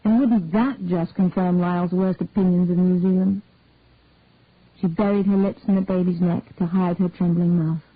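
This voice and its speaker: A woman's voice: on the low side, fairly even intonation, normal speed, no echo. A woman reading a story aloud as scripted narration, like an audiobook reader. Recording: narrowband, tinny phone-like sound.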